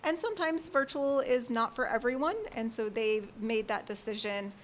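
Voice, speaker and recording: A woman working as a school family support advisor, speaking loudly and earnestly as she explains her work at a public board meeting. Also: a little high, steady tone, moderate pace, a little hiss or hum.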